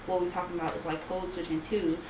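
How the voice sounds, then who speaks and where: A woman's voice: fairly low pitch, flat delivery, crowd noise. A young woman in a casual group discussion, talking emphatically and unapologetically. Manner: emphatic, loud and unapologetic, drawn-out phrasing. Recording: echoey room, distant mic, background noise.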